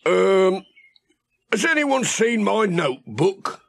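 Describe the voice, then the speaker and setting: A man's voice: sits high, sing-song, vocal fry, dry recording, pitched above the performer's own voice, heavily acted. A man voicing a bumbling, self-important village policeman in a children's audiobook, with an officious manner. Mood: muddled, chatty. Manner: slow, officious, putting on a special policeman's voice. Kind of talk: children's audiobook character reading.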